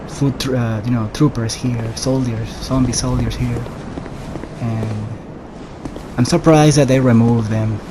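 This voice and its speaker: A man giving casual, halting commentary as he plays through a video game, often pausing mid-thought. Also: medium pitch, background noise. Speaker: gamer narrating a solo playthrough.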